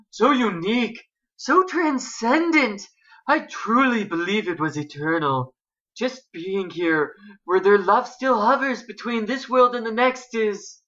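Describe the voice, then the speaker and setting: A gushing, romantic woman, put on by a female reader, speaks in a bright, lifted voice. She draws out her words with earnest drama, like a storybook heroine in love with love. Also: fairly high pitch, highs cut off, voice pushed up.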